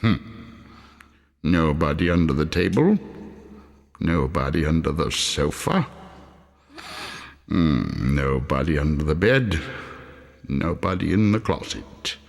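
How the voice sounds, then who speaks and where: Gruff, suspicious old male miser played by a male reader, very deep, muttering and clipped, for a storybook. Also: big pitch swings, slow pace, pauses often, big-room sound, exaggerated voice. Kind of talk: dramatic audiobook character reading.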